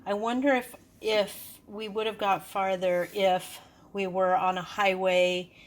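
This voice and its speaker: A woman's voice: medium pitch, flat delivery, unhurried. A woman giving a casual, hands-on product review, explaining things in a hesitant, unscripted way. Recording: clearly noisy background.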